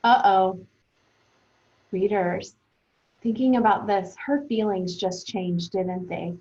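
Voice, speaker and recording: A woman teacher thinking aloud for young pupils in a conversational, expressive read-aloud lesson, speaking to them directly. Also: mid-range voice, takes it slowly, muffled recording, slight background noise.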